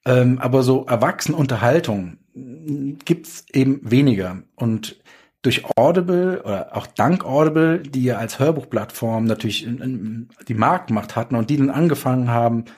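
A man's voice: mid-range voice, normal speed, a little mellow, husky. A man chatting casually as a podcast guest, explaining his work as a writer with examples. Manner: explains with examples and acted-out quotes. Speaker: guest, audio drama writer.

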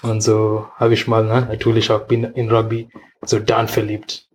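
A man's voice: lowish voice, monotone, moderate pace, a little mellow, low-quality recording. A man from Kenya, a rugby player, explaining in simple phrasing with frequent tag questions. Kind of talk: casual interview answers.

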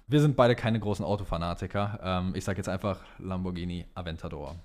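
A man's voice: sits low, a little fast, darkish tone. A young man chatting casually as a podcast co-host, with slangy, sometimes crude wording. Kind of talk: casual chat about Formula One.

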